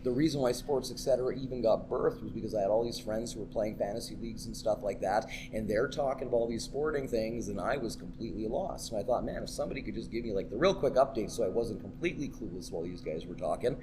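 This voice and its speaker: A man's voice: lowish voice, fast, few pauses. A man from Canada co-hosting a casual sports chat in a pub, with a scratchy throat and an enthusiastic, chatty, promotional way of talking. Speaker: co-host and karaoke host.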